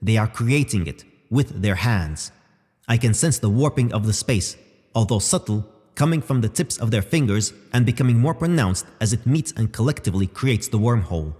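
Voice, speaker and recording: A man's voice: on the low side, animated, darkish tone, close to an everyday voice. Sci-fi audio drama robot, male actor: formal, analytical and unruffled, with swooping intonation, set in long reverb. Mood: explanatory. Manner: formal, measured, explanatory. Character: calm analytical male robot companion, precise and unhurried. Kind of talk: scripted audio drama acting.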